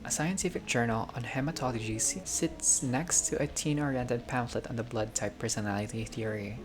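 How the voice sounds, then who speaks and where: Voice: mid-range voice, moderate pace. Game playthrough narrator over music. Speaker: gaming content creator reading a visual novel aloud. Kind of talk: playthrough narration with casual reactions. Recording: music behind the voice.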